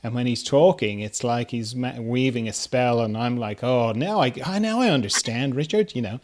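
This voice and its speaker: Male interviewer, medium pitch, informal and halting, feels his way toward each point. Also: noisy background. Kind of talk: casual conversation.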